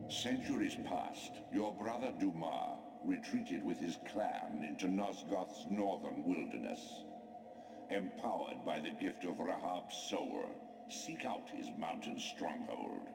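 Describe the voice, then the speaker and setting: An ancient, omniscient spirit guides in a grave, formal game-narration style. The voice is very deep and gravelly, set in long, cavernous reverb. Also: male voice, far from the mic, heavily acted. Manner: solemn, formal, portentous. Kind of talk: scripted video game narration. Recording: echoing, long reverb, noisy ambience.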